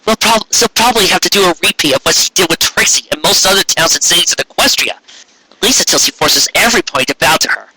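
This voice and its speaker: Guy doing a stubborn blunt girl pony in a story, pushy, bright and raspy. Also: sits high, lively intonation, fast, no room sound, full character voice, low-quality recording. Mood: determined.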